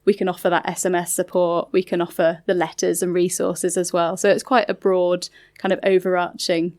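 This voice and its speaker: A woman's voice: lowish voice, flat delivery, brisk, darkish tone. Upbeat woman explaining her work in an interview. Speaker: interview guest, mental health charity health engagement officer. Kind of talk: conversational radio interview. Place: radio interview.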